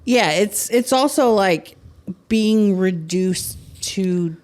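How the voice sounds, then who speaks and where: A woman hosting a podcast, chatting candidly and with animation, her loudness swinging up and down. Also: mid-range voice, takes it slowly. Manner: candid, animated, swings between loud and soft. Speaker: podcast host. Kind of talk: casual chat.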